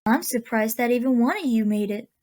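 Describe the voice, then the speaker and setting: A woman playing a kindly old woman of a magical land in a radio drama, speaking with gentle, genteel endearments. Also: fairly high pitch, a little fast, close to an everyday voice. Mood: warm, busy.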